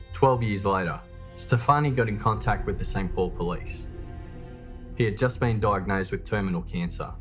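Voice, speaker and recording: A man reading scripted true crime documentary narration as the narrator. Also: lowish voice.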